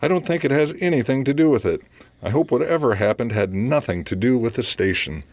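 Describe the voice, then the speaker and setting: A guarded radio station manager. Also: male voice, mid-range voice, animated, brisk, darkish tone, no echo. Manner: evasive, reserved. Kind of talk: audio theater acting.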